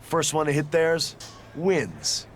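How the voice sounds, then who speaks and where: An actor playing a smug, condescending young pro wrestler in a video game cutscene. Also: male voice, on the high side, very animated, slow pace, a little hiss or hum. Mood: taunting, dismissive. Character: cocky young male pro wrestler taunting rivals.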